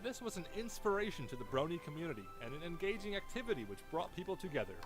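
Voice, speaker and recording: Lively higher-pitched man narrating a documentary-style video. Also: fast, music in the background.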